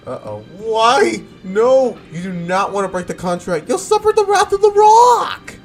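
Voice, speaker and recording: A man's voice: high voice, big pitch swings, measured pace. A man giving casual, theatrical gameplay commentary, full of mock-dramatic exclamations. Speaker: gamer, video creator. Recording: voice under loud game music.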